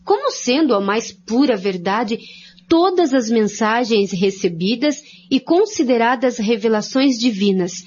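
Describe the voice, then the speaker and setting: A woman reads a formal script as co-presenter of a study programme. Her voice sits a little high, and the recording sounds dull and lo-fi.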